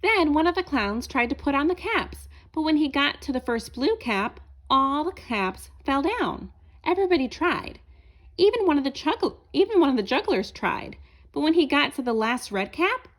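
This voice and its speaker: High, very animated woman reading a storybook. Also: normal speed. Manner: asks listeners questions as she reads. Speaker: storybook reader. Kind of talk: expressive children's read-aloud narration.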